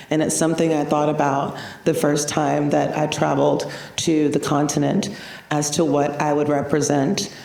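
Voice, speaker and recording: A woman from the United States opens a panel in a low, steady, mellow voice. She speaks deliberately. The sound is roomy and a bit distant from the mic. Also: gravelly. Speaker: moderator. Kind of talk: prepared panel introduction.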